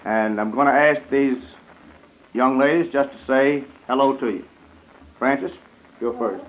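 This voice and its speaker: Male guest speaker, formal and earnest, mid-range pitch, semi-scripted radio talk on a muffled vintage 1930s broadcast recording. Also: a little slow, a little hiss or hum. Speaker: guest speaker promoting a boat regatta. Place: radio studio. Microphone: studio broadcast microphone.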